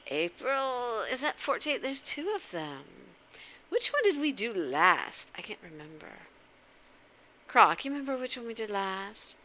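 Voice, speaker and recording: A woman's voice: a little high, big pitch swings, unhurried, bright, forceful tone. A woman hosting a livestream in casual, informal chat, addressing viewers directly and often asking them questions. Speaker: livestream host. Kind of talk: casual interactive game stream chat.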